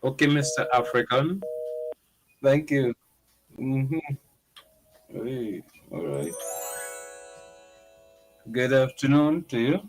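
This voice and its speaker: A man's voice: mid-range voice, lively intonation, slow pace, long pauses, over music, poor audio. A man hosting a call-in radio show, laid-back, answering callers with short replies and questions. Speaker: radio host.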